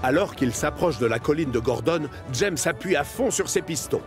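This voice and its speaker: A man's voice: on the high side, lively intonation, normal speed. A man with a standard French accent narrating a children's cartoon in a scripted storytelling style. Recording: music behind the voice.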